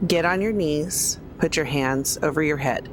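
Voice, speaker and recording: A player in a tabletop game talks casually, announcing rolls and reacting to them. The voice is of medium pitch, and there is clear noise in the recording. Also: a little slow.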